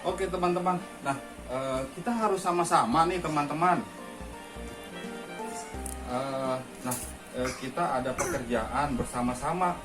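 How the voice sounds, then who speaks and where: A father tells a simple moral tale to children in a loose, chatty way, often calling them friends. His voice sits a little high for a man. Music plays clearly underneath the whole telling. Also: unhurried, pauses often, recorded from a distance. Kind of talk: children's storytelling.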